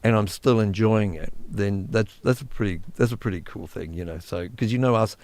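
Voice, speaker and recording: A man hosting a casual live music-production stream, chatty and quick to laugh. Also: on the low side. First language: English. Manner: chatty, laughing, restarts, lively. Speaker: host.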